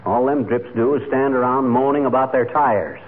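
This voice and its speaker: An actor playing a boastful, lazy husband with an American accent, wisecracking folksily in 1940s radio comedy. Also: male voice, medium pitch, normal speed, close to an everyday voice. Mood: blustery boasting. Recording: tinny, noisy old radio recording.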